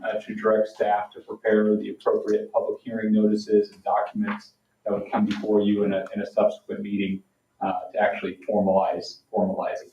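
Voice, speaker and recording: Male finance presenter, hesitant and explanatory, mellow and unvarying, heard at a distance in a meeting room. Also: lowish voice, a little hiss or hum. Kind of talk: formal budget presentation at a public meeting. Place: town council meeting room. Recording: echoey room, distant mic, dull sound.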